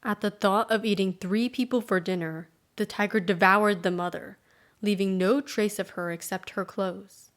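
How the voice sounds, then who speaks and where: A woman with a mid-range voice tells a children's folktale. She delivers it as scripted storybook narration. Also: mid-range voice.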